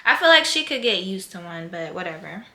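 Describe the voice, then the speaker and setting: A woman's voice: fairly low pitch, big pitch swings, brisk, pushed and bright. A woman, chatting casually to camera as a first-time vlogger, rambling with lots of fillers. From Philadelphia, USA. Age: 20s. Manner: blunt, heated and cursing when ranting.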